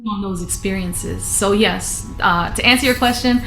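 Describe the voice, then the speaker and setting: A woman's voice: medium pitch, moderate pace, fairly bright tone, soft background music. Reflective woman guest in an echoey room. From North Philadelphia, Puerto Rican family. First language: English. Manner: reflective, flowing storytelling with many fillers. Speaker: guest singer and trumpet player. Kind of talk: casual interview talk.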